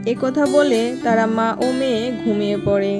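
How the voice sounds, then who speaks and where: A woman's voice: on the high side, normal speed, loud music behind the voice. A woman reading scripted fairy-tale narration as the storyteller of a cartoon.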